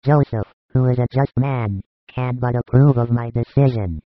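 A man acts out a serious, gently firm holy teacher in a scripture audiobook. The mid-pitched voice is calm and measured. It sounds muffled and boxed into a narrow band, with no room echo. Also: natural voice. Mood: serious, resolved. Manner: grave, measured. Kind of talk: dramatised scripture audiobook dialogue.

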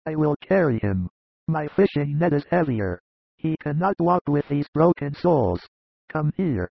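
A man voicing a hearty, earnest middle-aged fisherman in an audiobook, with a creaky voice and a plainspoken way of talking. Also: on the high side, sing-song, moderate pace, no room sound, exaggerated voice. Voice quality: gravelly, creaky. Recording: dull lo-fi dry recording.

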